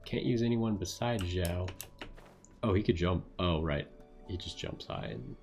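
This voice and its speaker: A man's voice: low-pitched, expressive, measured pace, creaky, darkish tone, soft background music. A man streaming a video game, giving laid-back casual commentary as he muses aloud.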